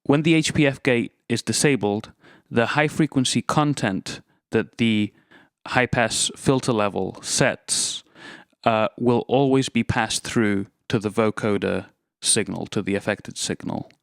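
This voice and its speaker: A man gives an instructional demonstration in a fairly low voice. He explains things methodically, one step after another. His delivery is clean and resonant, recorded very dry with no room sound. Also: unhurried, gravelly, no echo. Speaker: tutorial presenter.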